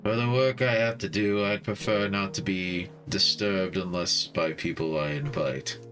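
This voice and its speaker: A man's voice: low-pitched, fairly even intonation, unhurried, a bit bright, soft background music. A man voicing a reclusive, formal hacker in a cyberpunk visual novel, speaking in terse, stiff phrases. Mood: reserved, matter-of-fact.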